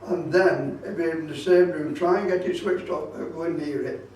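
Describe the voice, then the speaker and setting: High-pitched man presenting in a very echoey room. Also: moderate pace, a little mellow. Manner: repeats points insistently, explanatory. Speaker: radio club presenter giving a talk. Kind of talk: informal hands-on demonstration talk with safety warnings. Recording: very echoey room, distant microphone.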